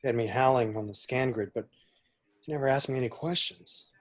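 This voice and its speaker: A man playing a weary, sarcastic smuggler in an amateur script read, gruff and sardonic. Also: mid-range voice, fairly quick, natural voice. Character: Han Solo, weary, sarcastic male smuggler.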